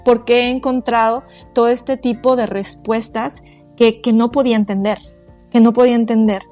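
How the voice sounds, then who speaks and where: A woman's voice: on the high side, a little mellow. Earnest woman in her forties. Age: 40s. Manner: halting, searching for words, earnest. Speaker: guest, quantum therapist. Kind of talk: casual interview conversation.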